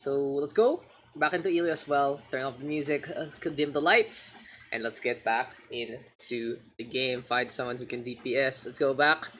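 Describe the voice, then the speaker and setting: A slightly hoarse man with a Filipino accent calls a live online match in brisk play-by-play at a medium pitch. His voice lifts and pushes harder through the big fights, and faint game ambience hums behind him. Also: steady tone, slight background noise. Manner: rapid play-by-play, rising louder in action calls. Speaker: esports caster.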